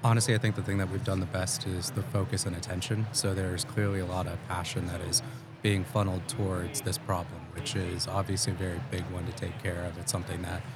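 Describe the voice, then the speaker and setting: Man, very deep, flat delivery, earnest guest; noisy live event booth. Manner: measured, earnest explanations. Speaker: guest, head of talent acquisition. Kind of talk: conversational podcast interview.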